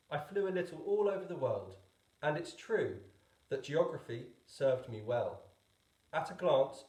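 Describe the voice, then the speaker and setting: A man narrating a storybook as a teacher would, expressively, with deliberate pauses. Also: medium pitch, darkish tone, echoey room, low-quality recording. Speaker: teacher reading a book aloud.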